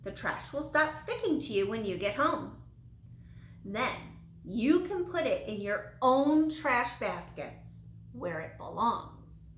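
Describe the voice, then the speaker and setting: A woman's voice: a little high, slight background noise, heavily acted. Woman reading as a stern old wizard, roomy sound. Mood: calm, instructive. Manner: steady, serious, deliberate. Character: stern, weary old man wizard scolding litterers.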